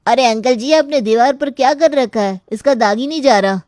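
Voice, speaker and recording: Joking, cheeky painter for a storybook, female performer, teasing delivery, mellow timbre, dry close sound. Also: high-pitched, moderate pace, dry recording, voice pushed up, natural voice.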